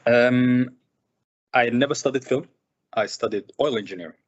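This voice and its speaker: A filmmaker who grew up in Sudan, speaking thoughtfully at a seminar with many fillers and repeated words. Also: male voice, mid-range voice, moderate pace. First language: Arabic. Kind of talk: reflective personal talk. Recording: muffled lo-fi recording.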